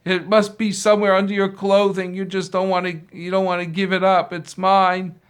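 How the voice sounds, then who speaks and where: A man voicing an angry traveler who accuses a stranger of stealing his money, shouting and accusing. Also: sits high, level tone, moderate pace, clear, resonant tone, voice pushed up, natural voice. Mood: furious.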